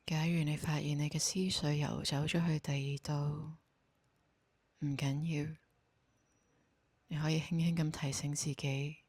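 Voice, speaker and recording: A woman with a Hong Kong Cantonese accent guides a meditation in a soft, breathy voice, speaking calmly and giving gentle instructions. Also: low-pitched, dry recording. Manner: slow, calm, gently instructing.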